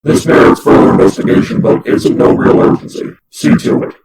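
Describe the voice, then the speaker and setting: A man's voice: fairly quick, darkish tone, distant mic. A man voicing a cold, detached demon scientist in charge, formal and clinical, with a distorted effect.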